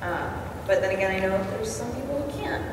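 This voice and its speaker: A woman's voice: mid-range voice, expressive, fast talker, far from the mic. Chatty young woman on a hall panel. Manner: chatty, laughs often, many fillers. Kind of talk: casual panel talk. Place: large convention hall with a live audience. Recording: echoey convention hall, crowd noise.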